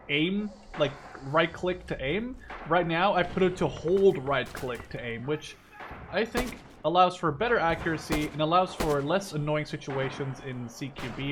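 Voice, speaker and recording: A man's voice: a little high, noisy background. A man in his twenties from the Netherlands giving casual, rambling commentary while he plays a shooter game, often correcting himself as he concentrates. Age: 20s. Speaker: gameplay video creator. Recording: loud game artillery and gunfire behind voice. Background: artillery fire and gunshots from the game.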